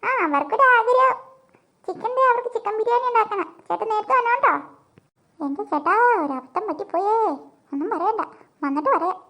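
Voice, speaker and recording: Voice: very high-pitched, lively intonation, a little fast, bright, forceful tone, exaggerated voice. A performer voicing a flustered mother in a comic family cartoon, soothing and placating her children. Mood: appeasing.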